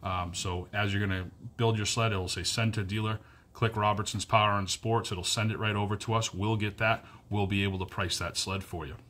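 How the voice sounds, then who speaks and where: A fairly low-voiced man in his thirties speaks straight into a phone camera held close. He talks earnestly and repeats key points for emphasis, with plenty of fillers along the way. The sound is close and informal, recorded indoors in a wood-paneled room. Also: fairly even intonation, fairly quick, fairly bright tone. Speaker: powersports dealer staff. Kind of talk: direct-to-camera business update. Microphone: phone camera at arm's length.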